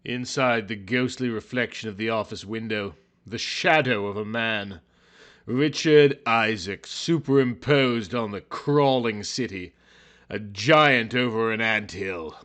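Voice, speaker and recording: A man narrating a story in a theatrical, brooding, overwrought style, as if every line were high drama. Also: unhurried, fairly bright tone.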